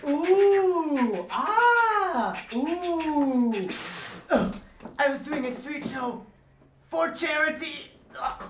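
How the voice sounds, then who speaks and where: A squeamish, snooty sock puppet, voiced by a woman, in a theatrical movie parody. The voice sits high and takes its time. It echoes off the room. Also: lively intonation, extremely slow, far from the mic, a little hiss or hum, heavily acted. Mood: disgusted.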